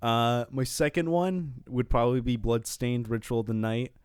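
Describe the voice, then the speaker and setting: A man speaks close and dry, at a middling pitch, as a podcast co-host. He chats casually with friends in an informal, blunt way. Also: fairly even intonation, normal speed, darkish tone, smooth, no echo. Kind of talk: casual group chat among friends.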